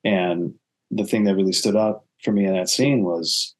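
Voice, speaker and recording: A man speaking as an actor being interviewed, earnest and reflective, often halting and restarting his phrases. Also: sits low, steady tone.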